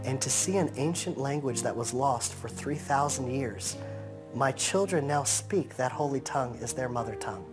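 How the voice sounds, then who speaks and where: A man in his thirties gives an earnest, measured sit-down interview from a couch, drawing out key phrases for weight. His voice sits at an ordinary middle pitch, and a clear music bed plays steadily behind him. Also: animated. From United States. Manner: earnest, measured, slows to stress points. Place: living room set, seated on a couch.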